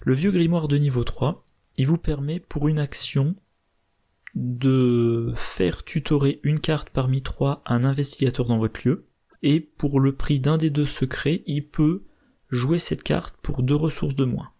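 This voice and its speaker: A slightly hoarse man methodically explaining card game strategy, thinking aloud with hesitations. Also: mid-range voice, fairly even intonation, a little slow, dark tone. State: slightly hoarse, irritated throat. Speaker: board game channel host.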